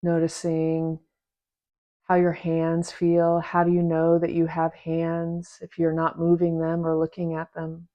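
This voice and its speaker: A woman teaching a guided meditation in a gentle, unhurried manner, leaving long pauses between short phrases. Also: low voice, completely flat delivery, highs cut off. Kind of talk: guided meditation, soft instruction.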